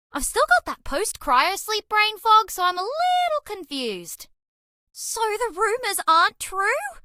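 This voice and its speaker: A woman's voice: very high-pitched, bright, forceful tone, no room sound, clean studio sound, full character voice. A woman voicing a bubbly, cheese-obsessed young alien rodent space traveler in a video game, with a perky, theatrical delivery. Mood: disappointed, dramatic. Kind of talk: animated game character voice lines.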